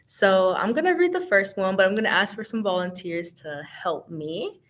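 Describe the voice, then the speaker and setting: A woman's voice: mid-range voice, animated, normal speed, a bit bright. An American woman from Texas leading an online training in a casual, chatty, playful and informal way over a video call. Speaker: workshop trainer and organization's communications coordinator. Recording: dull, lo-fi video call audio.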